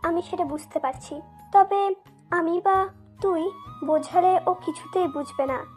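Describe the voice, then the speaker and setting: A woman's voice: very high voice, a little slow, a little mellow, soft background music. A woman playing an anxious mother in a dramatised romantic story, fretful and lamenting over her family's honour. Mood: weary, troubled.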